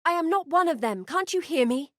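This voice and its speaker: A woman's voice: extremely high pitch, animated, fairly quick, no echo, studio quality. An actress playing an earnest, curious woman scholar in a video game, articulate, thoughtful and dramatic in delivery. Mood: distressed. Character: earnest, curious woman scholar guiding an exile through memories. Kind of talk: video game character voice acting.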